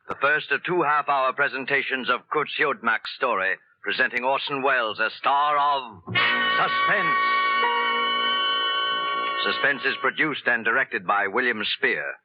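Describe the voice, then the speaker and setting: A male radio announcer in formal 1940s style. His medium-pitched voice is very animated, on a muffled recording with faint music behind. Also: a little slow.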